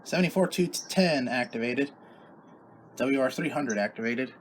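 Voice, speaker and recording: A man's voice: medium pitch, normal speed, slight background noise, poor audio. A man chatting casually as he hosts a hobby video about his radio gear. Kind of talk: casual hobby vlog chat.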